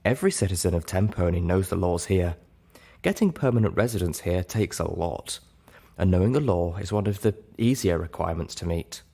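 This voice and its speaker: A man voicing a composed, kindly female unicorn with a measured, articulate and firm delivery in an audiobook story. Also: deep voice, animated, vocal fry, mellow, husky, exaggerated voice. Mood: firm, explanatory.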